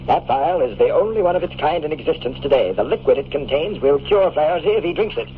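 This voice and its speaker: A very tinny, noisy vintage radio recording with a man acting as a coolly menacing expert. He talks formally and deliberately, swinging widely in pitch, with smooth control and veiled threat in old radio-drama style. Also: lively intonation, natural voice. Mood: stern, warning.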